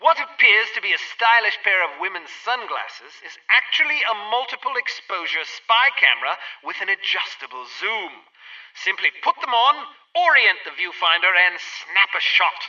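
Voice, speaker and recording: A man's voice: sits high, sing-song, normal speed, sharp tone, exaggerated voice. An actor playing an instructive male tutor in a video game, explaining precisely and didactically, with a muffled, distant sound. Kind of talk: scripted game tutorial narration.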